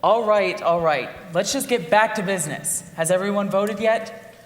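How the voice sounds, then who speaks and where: A man's voice: high voice, moderate pace, a bit bright. A man playing an impatient, brusque jury leader with a sarcastic manner in a stage play. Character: impatient, dismissive jury leader eager to leave. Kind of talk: live stage play acting. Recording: echoey hall stage recording.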